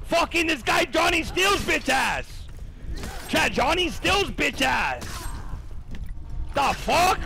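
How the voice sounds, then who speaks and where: A young man commentating a live game tournament and chatting with viewers, excitable and profane, losing his voice. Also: extremely high pitch, slow pace, creaky, long pauses. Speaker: streamer casting a tournament.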